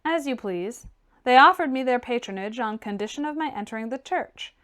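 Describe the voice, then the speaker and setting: A woman plays a dry, stubborn young clerk of gentlemanly birth in a classic-novel audiobook. The lines are formal and curt with a sardonic edge, in a fairly high voice with lively inflection. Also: fairly quick. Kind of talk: audiobook character reading.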